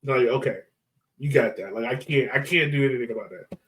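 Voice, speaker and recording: A man's voice: medium pitch, brisk, crowd noise, poor audio. A man hosting a sports podcast in casual, conversational soccer chat.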